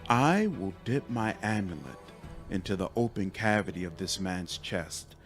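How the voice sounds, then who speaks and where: A seductive, bloodthirsty shaman woman from a fantasy game, played by a man. The voice is low and hushed, moving slowly and deliberately with eerie composure. Music runs underneath. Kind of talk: dramatic fantasy game dialogue.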